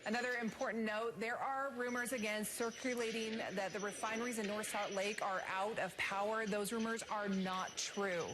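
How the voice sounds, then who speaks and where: A woman's voice: medium pitch, steady tone, moderate pace, hardly any pauses. A woman presenting live breaking news on TV, commenting on video in a partly ad-libbed way, on a noisy broadcast recording.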